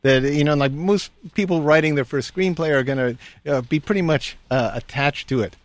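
A man's voice: a little high, moderate pace, no room sound. A man hosting a radio interview, asking easygoing questions with frequent fillers.

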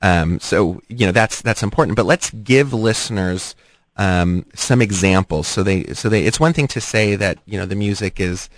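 Low-voiced male interviewer. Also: no echo. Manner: measured, probing questions. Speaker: interviewer, host. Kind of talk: radio interview.

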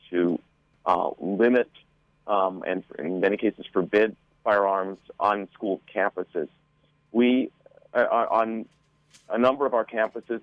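A man answering as an official guest in an interview, measured and halting, with frequent hesitations. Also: on the low side, dry recording, dull audio. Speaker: school board member guest.

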